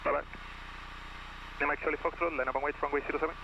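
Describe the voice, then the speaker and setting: Flat, rapid male controller on radio. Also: on the high side, gravelly, highs cut off. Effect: aviation radio. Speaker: air traffic controller. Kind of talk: radio phraseology. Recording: noisy aviation radio transmission.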